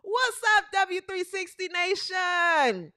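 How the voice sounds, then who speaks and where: A woman in her thirties hosting a live sports talk stream, animated and playful, with bursts of shouting. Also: very high voice, takes it slowly. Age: 30s. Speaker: podcast host.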